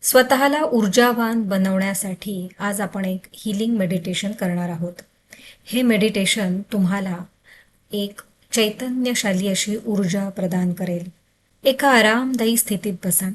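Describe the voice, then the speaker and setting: A woman leads a guided meditation at an unhurried pace. Her pitch sits in the middle for a woman. She gives gentle, soothing instructions in short phrases, leaving deliberate pauses between them.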